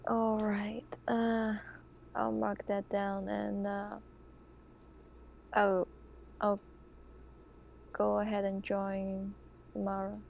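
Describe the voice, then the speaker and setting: A woman plays a tabletop roleplaying game, speaking very slowly with a gravelly fry in her voice. She is casual and hesitant, and the recording is dull and somewhat noisy. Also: mid-range voice, completely flat delivery. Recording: dull lo-fi mic with background noise.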